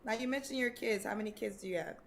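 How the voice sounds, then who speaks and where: A woman's voice: fairly high pitch, fast talker, slight background noise. A woman from Connecticut hosting a dating show, interviewing a caller in a familiar way and calling her baby and honey. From Connecticut, USA.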